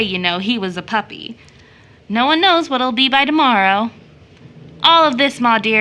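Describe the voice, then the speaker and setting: A woman playing a doting, playful young woman who teases and gently corrects in an audio drama. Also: mid-range voice. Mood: amused, chiding. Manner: teasing, gently correcting, fond. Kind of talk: stage play audio drama acting.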